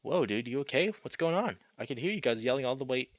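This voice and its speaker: A man's voice: mid-range voice, animated, fast, no echo, highs cut off, natural voice. A man voicing a laid-back male cat, a concerned friend with a casual, slangy way of talking, in a visual novel. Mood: alarmed.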